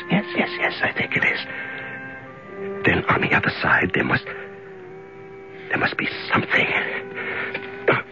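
An actor playing a lonely, desperate prisoner in a radio drama, muttering haltingly to himself and repeating his words. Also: mid-range voice, pushed and bright, full character voice. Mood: straining, hopeful. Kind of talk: 1970s radio drama acting. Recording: muffled 1970s radio recording.

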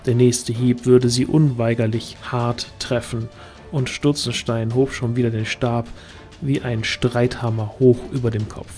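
Mellow male fantasy narrator. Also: medium pitch, level tone, a little slow, faint music behind. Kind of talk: scripted fantasy audio drama narration.

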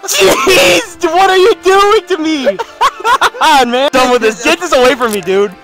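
A young man chatting loudly with friends outdoors, excitable and often shouting and laughing. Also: very high-pitched, a little slow, music in the background. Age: young adult. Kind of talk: casual chat among friends. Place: outdoors among boulders in dry hills.

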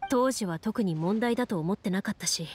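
An actress playing a composed, serious young woman duelist in a video game, speaking in a calm, measured, formal way. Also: mid-range voice, a little hiss or hum, natural voice. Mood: calm, reflective. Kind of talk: Japanese game voice acting.

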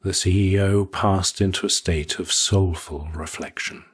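A man reads a short story as narrator. His voice is low and very husky, and his delivery has a wry storyteller's touch. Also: fairly even intonation, measured pace. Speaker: audiobook narrator.

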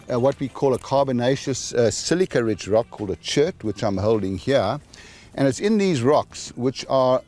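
A man's voice: medium pitch, a little mellow. An older man, a geologist, explaining the landscape outdoors in a patient way with many fillers, speaking into a handheld mic. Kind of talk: explanatory field talk. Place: outdoors on a hillside.

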